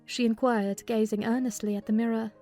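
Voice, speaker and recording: A woman plays a sensible housekeeper telling a story from a classic novel. Her fairly high voice is measured in narration and turns firm and scolding in dialogue, over soft music. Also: fairly even intonation, lightly acted. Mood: measured, concerned. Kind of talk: dramatized first-person narration of a classic novel.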